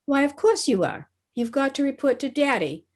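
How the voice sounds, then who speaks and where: Scatterbrained teen schoolgirl played by a woman: high-pitched, naive, excitable, vintage radio sitcom style. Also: moderate pace. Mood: excited, anxious. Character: earnest, scatterbrained teenage schoolgirl, principal's daughter. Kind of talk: old-time radio comedy acting. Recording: remote video-call recording.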